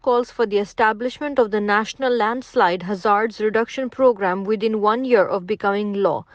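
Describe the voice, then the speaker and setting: A woman reads scripted informational passages aloud in a measured, formal way as a model narrator. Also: medium pitch, no room sound, highs cut off.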